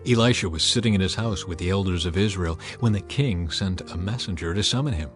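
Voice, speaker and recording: A man's voice: low-pitched, a little fast. Earnest male scripture narrator. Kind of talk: dramatized scripture reading. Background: faint background music.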